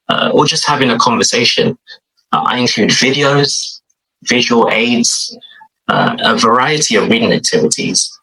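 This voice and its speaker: Bright, husky London man introducing himself. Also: mid-range voice, rough recording. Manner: friendly, with frequent uh fillers. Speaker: online English tutor. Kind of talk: scripted intro video.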